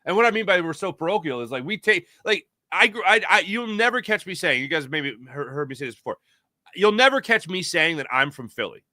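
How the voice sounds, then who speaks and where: A man's voice: sits high, animated, a little fast, pushed and bright, no echo, studio quality. An American man from the Philadelphia area hosting a sports show, talking in a casual, emphatic, conversational storytelling way. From Philadelphia area, United States. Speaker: sports talk show host. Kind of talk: casual sports talk monologue.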